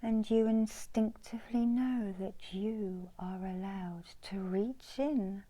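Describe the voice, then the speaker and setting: Middle-aged female meditation guide, breathy whisper, lowish pitch, extremely unhurried delivery in short phrases. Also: warm tone, slight background noise, low-quality recording. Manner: slow, deliberate, pausing between short phrases. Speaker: reiki healer guiding a meditation. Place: small indoor room.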